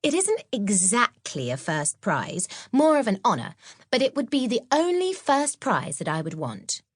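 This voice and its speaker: A woman performs a young, accident-prone witch schoolgirl for a children's audiobook. The character is earnest and a little sheepish, confiding in a lively, up-and-down voice. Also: on the high side, measured pace, breathy edge, no echo, studio quality, pitched above the performer's own voice, full character voice. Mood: shy.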